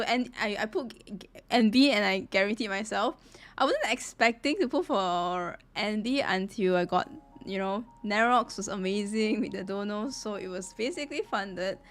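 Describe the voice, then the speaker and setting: A woman's voice: fairly high pitch, sharp tone. A woman live-streamer chatting casually with her viewers, greeting them in a chatty way. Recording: music playing behind the voice. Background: background music.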